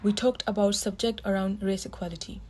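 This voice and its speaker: Young female presenter, scripted intro. Dark, soft-edged tone with some huskiness. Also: mid-range voice, little pitch movement, fairly quick, slightly rough. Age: young adult.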